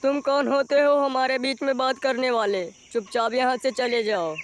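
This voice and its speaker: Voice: high-pitched, fairly even intonation, moderate pace, close to an everyday voice. A performer voicing a rude, stubborn young crow child in a Hindi cartoon moral story. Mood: angry, insolent. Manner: insolent, protesting. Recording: faint outdoor nature noise.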